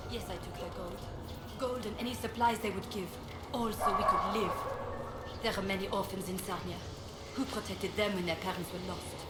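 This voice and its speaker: A weary village leader from a fantasy game, acted by a woman. She justifies herself earnestly in a medium-pitched voice. It is echoey, with loud noise around her. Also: fairly even intonation, moderate pace, natural voice. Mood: defensive, regretful. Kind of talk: video game voice acting.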